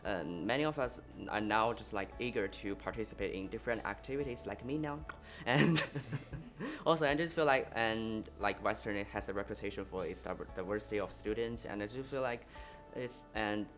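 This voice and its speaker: A male student whose first language is Chinese, talking casually at a roundtable in a halting way and laughing between thoughts. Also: lowish voice, a bit bright, faint music behind. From China.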